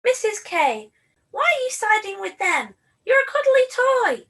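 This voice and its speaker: A woman plays a plain-spoken cuddly toy who challenges others in a puppet comedy. The voice is extremely high, with a sharp, bright edge. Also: very animated, unhurried, heavily acted. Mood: challenging. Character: outspoken cuddly toy standing up for its kind. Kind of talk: scripted puppet comedy dialogue.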